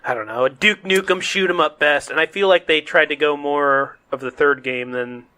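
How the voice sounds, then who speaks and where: Man, fairly high voice, bright and sharp, informal casual chat, podcast co-host, says like often. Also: moderate pace, smooth. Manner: informal, peppers talk with "like". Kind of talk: casual chat about games and movies.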